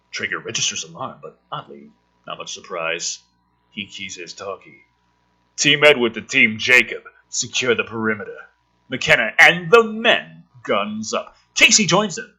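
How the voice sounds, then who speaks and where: A man's voice: medium pitch, sharp tone, husky, low-quality recording. A man with a slightly sick throat reads a script aloud, adding sweary, sarcastic, exasperated commentary. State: throat trouble from being a bit ill. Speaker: host reading and mocking a film script. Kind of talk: script read-aloud with ranting, joking commentary.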